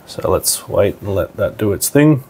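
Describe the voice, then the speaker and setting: A man's voice: fairly low pitch, animated, normal speed, gravelly, a little mellow, slight background noise. A man narrating a hands-on product tutorial in an informal, step-by-step way. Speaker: product demonstrator.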